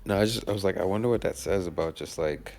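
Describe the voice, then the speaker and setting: A man from Nigeria talks as a podcast guest in a very deep voice with a gravelly fry. He is relaxed and reflective and thinks out loud. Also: quick pace, faint music behind. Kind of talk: casual chat.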